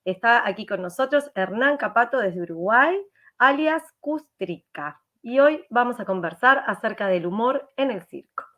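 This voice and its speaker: A woman's voice: a little high, lively intonation, normal speed, highs cut off. A woman hosting a casual interview over a video call, laughing often and asking rambling questions. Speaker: host.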